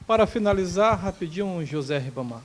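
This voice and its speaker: A man's voice: high voice, lively intonation, brisk. A man hosting an interview show, asking questions politely and closing the program formally. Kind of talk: scripted show sign-off and questions.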